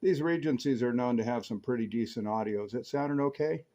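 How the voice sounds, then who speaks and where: A man's voice: medium pitch, fast, warm tone. An American man chatting casually and enthusiastically over CB radio, explaining things like a keen hobbyist.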